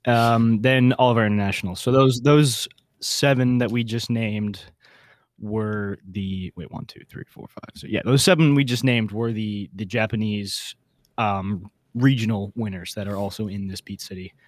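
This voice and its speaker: A man with a fairly low voice hosts a casual podcast chat. His talk is informal and enthusiastic, full of slang. The sound is dry and close, with hardly any room in it. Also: steady tone, normal speed, dry recording. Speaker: podcast host.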